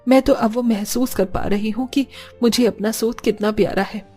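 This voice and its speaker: A woman's voice: a little high, steady tone, normal speed, dark tone, slightly rough. Elderly mother-in-law for a cartoon woman acting, devout and protective, earnest delivery, faint music. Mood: joyful, devout. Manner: soothing, earnest.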